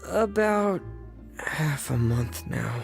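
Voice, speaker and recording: A withdrawn, gentle teenage boy in an anime game. The voice is low for a woman and slightly gravelly. The delivery is slow, hesitant and secretive. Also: animated, soft background music, exaggerated voice. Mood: guilty. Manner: hesitant, halting, soft-spoken.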